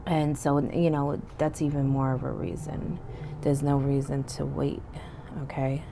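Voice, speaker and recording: Very low-voiced hoarse woman reading tarot. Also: unhurried. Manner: relaxed, chatty, frequent "okay?" and "you know". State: stuffy and hoarse from illness. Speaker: tarot reader. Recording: faint background noise.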